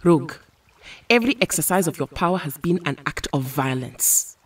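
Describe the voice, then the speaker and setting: A woman acts as a noble goddess-like superheroine in an audio drama. Her voice is low and distinctly husky, a little distant from the mic. She chooses formal, measured words with serene dignity. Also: animated. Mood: earnest.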